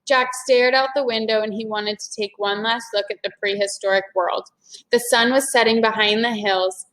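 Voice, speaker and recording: A woman's voice: a little high, fairly even intonation, normal speed, fairly bright tone. A woman reading a children's story aloud expressively, as if to a young class.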